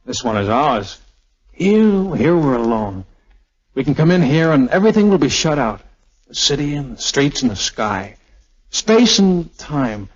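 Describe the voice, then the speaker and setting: Casting: young American air officer, 1940s radio romance, wry and loving, medium pitch, dull old broadcast sound. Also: male voice, sing-song, measured pace, slightly rough. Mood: earnest, tender. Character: tender, wisecracking young army air officer in love. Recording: muffled, lo-fi 1940s radio recording.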